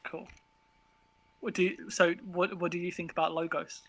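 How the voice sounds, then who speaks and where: A man's voice: high-pitched, level tone, moderate pace, fairly bright tone. A man in casual, reflective conversation as an interviewed author, rambling informally and searching for words. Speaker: interviewed author of an audio drama.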